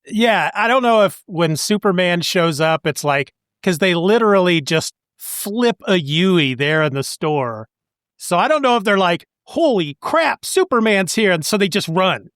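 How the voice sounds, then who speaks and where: Casual male co-host, slightly high pitch. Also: animated, unhurried, a bit bright, no room sound, studio quality. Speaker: podcast co-host. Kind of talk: casual chat.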